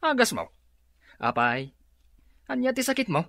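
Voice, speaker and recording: A nosy, scolding Ilocano woman for radio comedy. Her forceful voice swoops dramatically with suspicion, close and dry. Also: a little high, big pitch swings, unhurried, no room sound, full character voice. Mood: suspicious.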